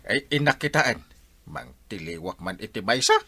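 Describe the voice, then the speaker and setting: A man with an Ilocano accent acting an excitable, puzzled village husband in a comedy drama. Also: lowish voice, normal speed, heavy background noise. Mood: puzzled, startled.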